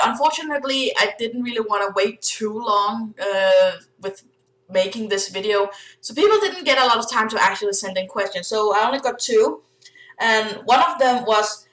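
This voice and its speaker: A young woman with a Danish accent talks casually to the camera, full of ums and little laughs. Her voice sits at an ordinary pitch for a woman. The room around her is noticeably echoey. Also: fairly bright tone. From Denmark. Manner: chatty, giggly, many fillers. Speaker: vlogger. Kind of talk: casual vlog chat.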